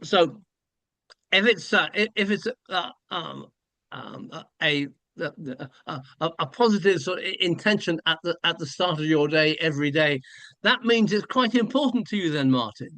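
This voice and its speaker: A man hosting an interview, his voice a little higher than most men's and recorded dry and close. He asks his questions hesitantly, pausing on fillers and starting over. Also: lively intonation, bright, forceful tone, no room sound. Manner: hesitant, many fillers and restarts. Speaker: podcast host. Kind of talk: conversational interview.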